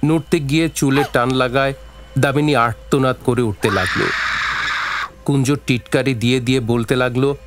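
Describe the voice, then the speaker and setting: A man's voice: medium pitch, steady tone, normal speed, over loud music. A man narrating a scripted story for an audio drama, in a literary storytelling style.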